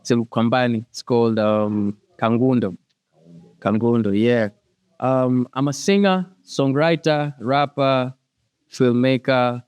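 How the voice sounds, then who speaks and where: A man's voice: mid-range voice, measured pace, a little mellow, smooth, no echo. A man with a Kenyan accent, chatting casually as a musician guest in an interview. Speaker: musician guest, singer and rapper.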